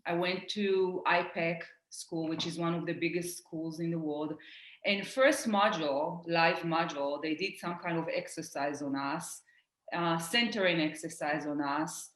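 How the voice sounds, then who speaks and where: A woman from Israel whose first language is Hebrew, speaking English with an Israeli accent. She is a life coach talking in an earnest, animated way, often restarting her sentences. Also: deep voice, measured pace. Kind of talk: casual conversation. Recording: echoey room.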